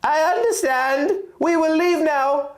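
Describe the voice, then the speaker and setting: A man voicing a tiny female fairy, anxious and excitable, in a fantasy story reading. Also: very high-pitched, slow pace, roomy sound, pitched above the performer's own voice. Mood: worried, eager.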